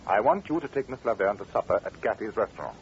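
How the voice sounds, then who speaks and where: An actor playing a shrewd, blunt English gentleman detective, precise and formal, in 1940s radio drama style. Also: on the low side, expressive, fast. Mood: commanding. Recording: dull, noisy old radio recording.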